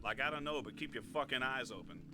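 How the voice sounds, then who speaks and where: A man's voice: mid-range voice, fast talker, background noise, lightly acted. A man playing a wary, tough gang guard in a video game, speaking in streetwise slang. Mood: tense, wary. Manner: slangy, warning.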